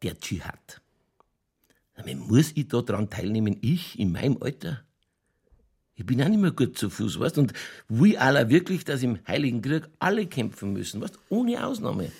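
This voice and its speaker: A man playing an older, folksy Bavarian police chief in a radio crime drama, sly and skeptical, with a colloquial, dry, placating manner and a gravelly voice. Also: medium pitch, sing-song, moderate pace, darkish tone, exaggerated voice. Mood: musing, skeptical.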